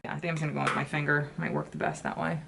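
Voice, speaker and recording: A woman's voice: low-pitched, very animated, racing, vocal fry, noisy background. Chatty, swearing woman beauty vlogger. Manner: chatty, swears often, exclaims about products. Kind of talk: casual chat while doing makeup.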